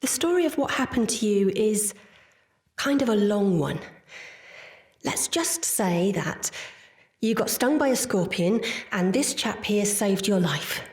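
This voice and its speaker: A woman playing a caring adventurer in an audio play, with a slightly husky voice. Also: mid-range voice, animated, measured pace, a little mellow. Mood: reassuring.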